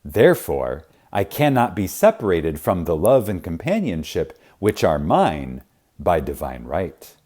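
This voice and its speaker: Guy reading a spiritual audiobook real slow, lots of rise and fall, reverent, big pauses for emphasis. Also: deep voice, sing-song, dark tone. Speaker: audiobook reader. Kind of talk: scripted narration of a spiritual self-help audiobook.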